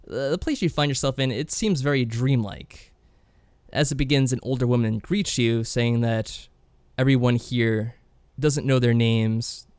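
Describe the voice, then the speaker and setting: A man co-hosting a podcast, discussing games casually in a measured, mild-mannered way. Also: medium pitch, darkish tone, clean tone, no echo. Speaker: podcast co-host.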